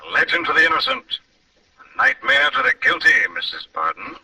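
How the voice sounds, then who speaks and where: An actor playing a mysterious, mocking phantom avenger in an old radio mystery, theatrical and taunting. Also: male voice, extremely high pitch, wildly swooping pitch, fairly quick, creaky, pushed and bright, dry recording, heavily acted. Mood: ominous, mocking. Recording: vintage radio recording, slightly distant.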